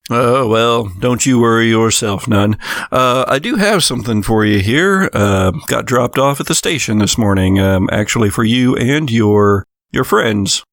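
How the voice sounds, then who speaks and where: A man's voice: medium pitch, unhurried, a bit bright, no room sound, studio quality. A man playing a folksy, apologetic small-town deputy in a tabletop horror game, speaking in a hesitant, polite way.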